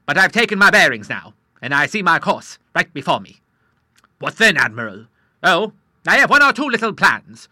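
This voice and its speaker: A man's voice: sharp tone, dry recording. A man reading an audiobook, voicing a hearty old naval admiral in his sixties who talks boisterously in nautical terms. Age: 60s. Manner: booming, boisterous, nautical talk.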